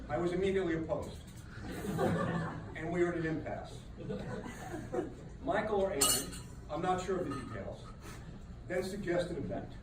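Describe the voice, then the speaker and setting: A man giving a humorous wedding rehearsal dinner speech, telling dry, self-deprecating jokes to a laughing crowd. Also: on the high side. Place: large banquet room with a crowd. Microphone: no microphone, recorded from across the room. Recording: echoey room, distant, loud crowd noise.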